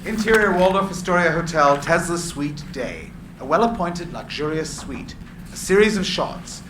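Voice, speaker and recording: Male narrator for screenplay stage directions, fairly high register, live in a reverberant, noisy room. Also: animated, normal speed. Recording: echoey room with clear background noise.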